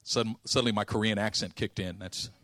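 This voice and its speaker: A man preaching a sermon, telling a story with lively acted-out dialogue and casual slang. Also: fairly low pitch, fast talker. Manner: animated storytelling, acting out dialogue.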